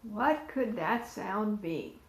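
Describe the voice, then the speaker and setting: Slow, lively woman reading aloud. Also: mid-range voice, slight background noise. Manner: asks young listeners questions and points to pictures. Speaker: volunteer storyteller. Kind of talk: picture-book read-aloud for preschoolers. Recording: echoey room.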